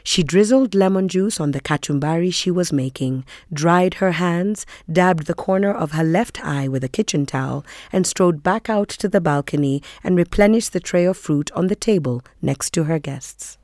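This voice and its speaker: A woman's voice: fairly low pitch, normal speed, few pauses, a little mellow, no room sound, clean studio sound, close to an everyday voice. A woman reading scripted narration from a novel.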